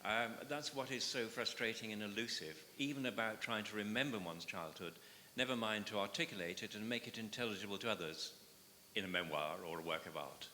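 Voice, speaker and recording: Loud, bright-voiced man in a hall. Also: lowish voice, slight background noise. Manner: articulate, measured, loud. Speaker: discussion panelist. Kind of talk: spontaneous public discussion.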